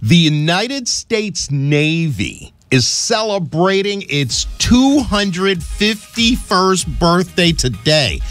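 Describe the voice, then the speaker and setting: A man's voice: high-pitched, slow pace, hardly any pauses, no room sound, faint music behind. A man co-hosting a morning radio show in a radio studio, chatting casually and reading traffic. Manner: chatty, animated, reads traffic reports.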